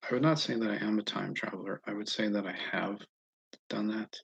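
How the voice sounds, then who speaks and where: American guy rambling to his stream, gravelly and husky, stops and restarts a lot, kinda dull audio. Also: fairly low pitch, fairly even intonation. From Northeast United States, mostly Massachusetts. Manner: halting, self-interrupting, thinking aloud. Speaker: livestream host answering viewer comments. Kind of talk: casual rambling livestream chat.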